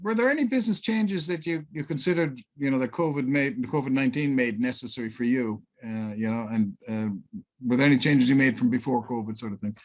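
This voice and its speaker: A man hosting a casual interview, asking questions in a hesitant, halting way. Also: medium pitch. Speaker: interviewer, host, business coach.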